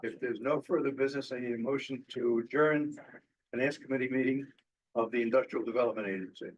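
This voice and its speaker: A man runs a formal board meeting, moving briskly through motions and votes in set phrases. The sound is slightly dull, like a plain meeting-room recording. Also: mid-range voice, steady tone, slight background noise. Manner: brisk, procedural, formulaic motions. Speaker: board chair.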